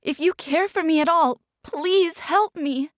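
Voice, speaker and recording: A woman voicing a terrified young heroine who pleads in a high, desperate voice. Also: unhurried, dry recording, clean studio sound. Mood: shrieking.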